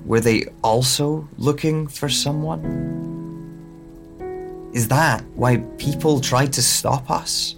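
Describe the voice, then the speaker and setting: Troubled amnesiac man musing to himself, slow and hesitant, music and rain behind. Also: on the high side, creaky. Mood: searching, unsettled. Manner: hesitant, reflective, broken phrasing. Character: troubled, searching man with lost memory, musing alone. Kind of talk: slow introspective audio drama monologue.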